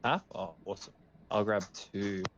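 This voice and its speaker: A man's voice: fairly low pitch, normal speed. Muffled casual male gamer. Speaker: tabletop game player. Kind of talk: casual game table chat. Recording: dull lo-fi voice track, faint noise.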